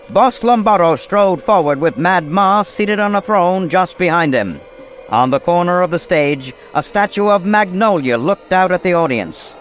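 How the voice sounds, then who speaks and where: A man's voice: high voice, fairly bright tone. A man delivering scripted, dramatic narration for a radio drama.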